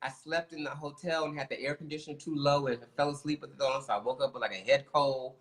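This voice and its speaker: A man's voice: medium pitch, quick pace. Nasal man around fifty, playful. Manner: playful, laughing, expressive. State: nasal from a head cold. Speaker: co-host. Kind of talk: casual livestream chat.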